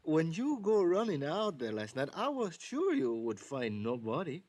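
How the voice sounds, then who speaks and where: An actor playing a loyal, earnest house servant with a Spanish accent and hesitant, stammering English in an old radio drama. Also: male voice, on the high side, wildly swooping pitch, moderate pace, a little mellow, dry recording.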